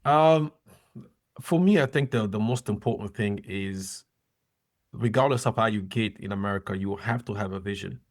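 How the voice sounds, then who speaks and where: A middle-aged man, a former soccer player and coach, giving earnest, measured advice as a podcast guest. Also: on the low side. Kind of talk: interview conversation.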